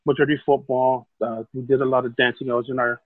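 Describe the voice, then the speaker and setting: A man's voice: mid-range voice, little pitch movement, quick pace, no room sound. A man from Hawaii telling his life story as a podcast guest, rambling with many fillers and restarts. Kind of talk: casual storytelling. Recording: tinny phone-like call line, dry.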